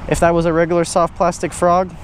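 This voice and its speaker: A young man with a fairly high voice talks casually through a fishing vlog. His wording is slangy and informal. The sound comes from an action camera outdoors, with clear background noise. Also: monotone, quick pace. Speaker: fishing vlogger. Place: outdoors on grassy banks beside water.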